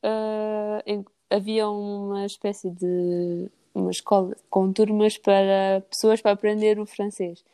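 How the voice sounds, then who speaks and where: A woman's voice: medium pitch, little pitch movement, unhurried, hardly any pauses, warm tone, clear, resonant tone. A woman with a European Portuguese accent giving casual, conversational answers as a podcast guest.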